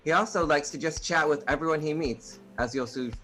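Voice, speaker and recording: A man hosting an event, reading a guest's introduction slowly and deliberately. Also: a little high, bright, forceful tone, faint music behind. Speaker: event host. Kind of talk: scripted introduction.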